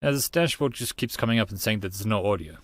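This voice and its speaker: A man's voice: lowish voice, lively intonation, quick pace, no room sound. Man chatting casually on a dry mic. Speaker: streamer reading a visual novel aloud. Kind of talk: casual live stream chat.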